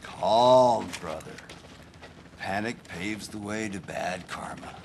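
A spaced-out hippie grower, low gravelly voice, drawls slowly. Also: male voice, noisy background. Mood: calming. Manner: slow spacey hippie talk. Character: mellow hippie drug grower, serene.

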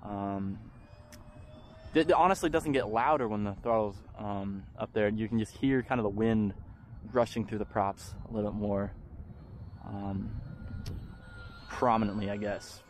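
A man's voice: on the low side, measured pace, long pauses, a little hiss or hum. A young man hosting a hobby channel, talking casually with frequent ums while flying a model plane in an open park. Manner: relaxed, chatty, frequent ums. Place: open park field outdoors.

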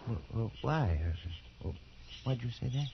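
A man's voice: mid-range voice, animated, unhurried, creaky, a little hiss or hum. An actor playing a shaken, defensive colonial Englishman in a post-war radio drama, speaking in a hesitant, stammering way. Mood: anxious, agitated. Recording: dull vintage radio recording with music.

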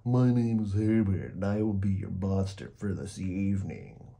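Guy doing a polite nerdy monster from a picture book, breathy, meek and kinda formal. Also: low-pitched, lively intonation, normal speed, warm tone. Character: mild, bookish, apologetic male monster named Herbert.

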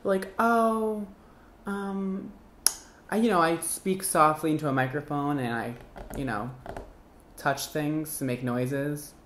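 A man in his twenties giving casual, exclamatory reaction commentary to camera in a campy, animated manner. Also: on the high side, takes it slowly, long pauses, reverberant, a little hiss or hum. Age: 20s. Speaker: hairdresser and video host. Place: indoor studio with paper backdrop.

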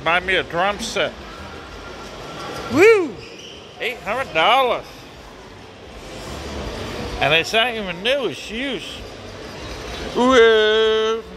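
High-voiced man vlogging in a noisy mall. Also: big pitch swings, very slow, long pauses. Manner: joking, rambling commentary. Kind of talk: casual walking vlog. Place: busy indoor shopping mall. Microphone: handheld phone camera.

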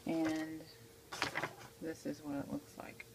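Low, gravelly-voiced woman, casual tutorial. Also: monotone, takes it slowly. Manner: informal, checks in often with "okay". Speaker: amateur how-to video maker. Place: home kitchen, indoors at the stove. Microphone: handheld phone behind the camera. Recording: noisy handheld home recording, clicks.

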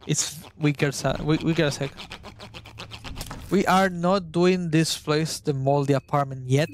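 A young man, chatting casually through a gameplay guide and sometimes hunting for words. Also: fairly high pitch. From Argentina. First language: Spanish. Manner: chatty, searches for words, swears at the game. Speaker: gaming YouTuber.

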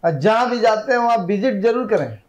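A middle-aged shop owner being interviewed in an office, speaking in a plain, earnest way. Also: male voice, high voice, animated, a little fast. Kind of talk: casual interview. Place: indoors in an office.

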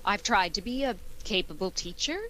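A woman playing a sardonic high school English teacher with dry, deadpan delivery in an old-time radio comedy. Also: moderate pace, heavy background noise, close to an everyday voice. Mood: dry, wry.

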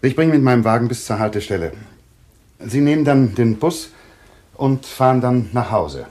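An actor as a self-assured, animated doctor. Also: male voice, medium pitch, moderate pace, close to an everyday voice. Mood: calm, directing. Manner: authoritative, clinical, reassuring. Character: self-assured, soothing male doctor taking charge. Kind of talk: German radio play acting.

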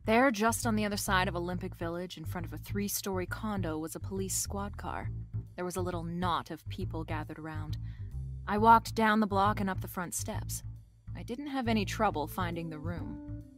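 A woman playing a brisk, bossy, wisecracking private detective in an old-time radio-style mystery drama. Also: on the low side, soft background music, close to an everyday voice. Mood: wry, narrating.

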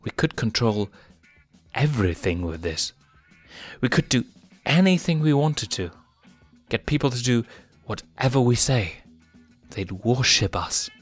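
Cocky boyish lion cub prince, pitched up; male reader doing the voice. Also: expressive, unhurried, pauses often, faint music behind.

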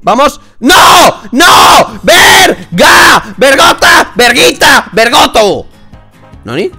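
A young man hosting a casual game playthrough, excitable and quick to shout and swear. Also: very high-pitched, creaky, faint music behind, a faint crowd. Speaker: gaming channel host. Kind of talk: casual let's play chat.

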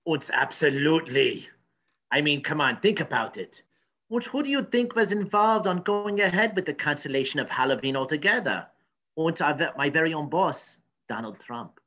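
A man's voice: on the high side, animated, moderate pace, bright, forceful tone, muffled recording. A man playing a cranky, pompous professor in a comedy news sketch, rambling and stammering. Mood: indignant. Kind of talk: improvised mock news interview comedy.

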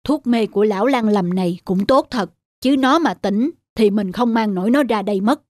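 A man's voice: high voice, moderate pace, a little mellow, no echo, natural voice. A man voicing a fretful, self-serving village man in a folktale cartoon, exclaiming and muttering to himself. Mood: regretful. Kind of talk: Vietnamese folktale cartoon dubbing.

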